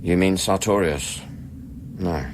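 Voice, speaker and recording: Deep-voiced, insistent investigator. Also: male voice, takes it slowly, background noise. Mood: suspicious. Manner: pressing, clipped questioning. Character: probing, insistent male investigator, sober and suspicious. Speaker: actor in a radio play. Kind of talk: radio drama acting.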